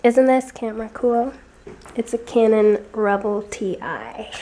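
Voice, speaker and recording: A young woman vlogging on tour with a handheld camera, chatty and excitable, losing her voice from a cold. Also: fairly high pitch, a little slow. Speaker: touring musician vlogger. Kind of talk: casual handheld vlog. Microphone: handheld camera mic. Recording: camera audio with loud road and outdoor noise.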